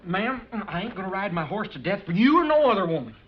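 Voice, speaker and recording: An actor playing a reluctant young cowhand in an old radio western, with a folksy American cowboy drawl. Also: male voice, high-pitched, very animated, quick pace, a crowd in the background. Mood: flustered, exasperated. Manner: folksy, exasperated. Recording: muffled, hissy vintage radio recording.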